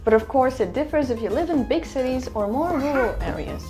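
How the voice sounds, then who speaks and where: A woman with a fairly high voice narrates a scripted explainer. Her delivery is even and informative, and faint music plays behind her. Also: brisk. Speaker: video narrator.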